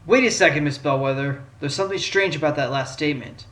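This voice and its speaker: A man's voice: on the high side, fast, heavy background noise, lightly acted. A man playing a determined, probing fox defense attorney in a fan courtroom audio drama, given to dramatic delivery. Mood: forceful, challenging. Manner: dramatic shouted objections, pondering asides. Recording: roomy echo under loud music.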